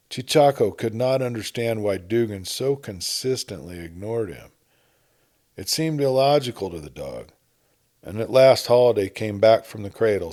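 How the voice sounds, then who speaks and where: Low, mellow male story narrator. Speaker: narrator reading a short story. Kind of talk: scripted dramatic audiobook narration.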